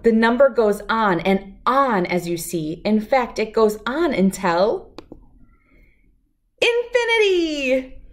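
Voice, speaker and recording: A middle-aged woman reading a rhyming picture book aloud to children in an expressive, deliberate, lilting way. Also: fairly high pitch. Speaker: children's read-aloud host.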